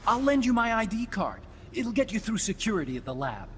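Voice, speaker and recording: An actor playing a nervous, guilt-ridden young scientist in a game cutscene, explaining quickly and at times slipping into subdued hesitation. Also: male voice, sits high, big pitch swings, a bit bright, a little hiss or hum. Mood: briefing. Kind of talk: video game cutscene acting. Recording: music playing underneath.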